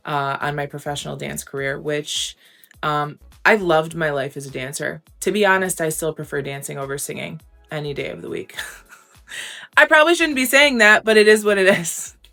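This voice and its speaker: A young woman with a low, grounded voice talks openly and casually, filling pauses with "um" and "like". Faint music plays quietly under her words. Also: vocal fry. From United States. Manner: candid, chatty, frequent "um" and "like". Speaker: interview guest, recording artist. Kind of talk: casual interview answers.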